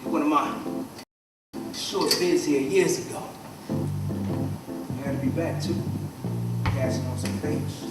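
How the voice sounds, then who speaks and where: A man acting in a stage play as an easygoing prisoner settling into his cell, low-key and unhurried. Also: steady tone. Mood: laid-back, wry. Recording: roomy distant stage recording under loud music.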